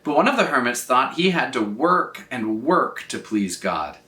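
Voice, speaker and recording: A man narrates a story for children with very lively, varied intonation. His voice is bright and clear-cut, and he stretches words for dramatic effect. The recording sounds echoey, as if he is standing back from the microphone in a room. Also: mid-range voice, unhurried. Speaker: storyteller reading aloud for children. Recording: roomy echo, distant from mic.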